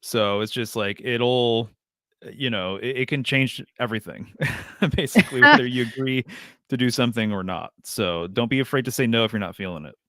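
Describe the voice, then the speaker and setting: A man's voice: medium pitch, normal speed, fairly bright tone, dry recording, studio quality. A man who reads tarot, a podcast guest explaining his ideas in a reflective, casual way with filler uhs. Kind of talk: casual conversational podcast chat.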